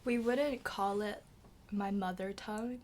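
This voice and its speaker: A woman in her late teens from Macau with a slightly British-tinged accent, chatting as a podcast guest, full of fillers and trailing into soft half-whispers. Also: medium pitch, steady tone, measured pace, slight background noise. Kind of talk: casual chat.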